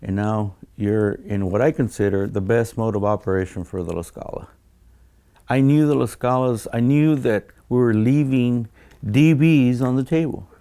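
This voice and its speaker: A man speaking as a design engineer in an explanatory interview, measured and technical, often pausing mid-phrase. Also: mid-range voice, dark tone, clean tone.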